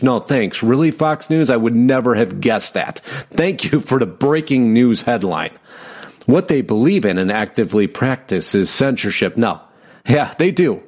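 A man's voice: medium pitch, a little mellow, breathy edge. A man hosting an online broadcast, giving impassioned, emphatic commentary on news articles, reading them aloud and answering them with sarcasm.

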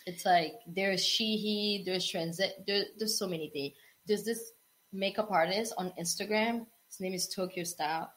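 Animated woman swapping stories on a podcast. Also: mid-range voice, unhurried. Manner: animated, quotes people in acted asides. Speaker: co-host. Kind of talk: casual podcast chat.

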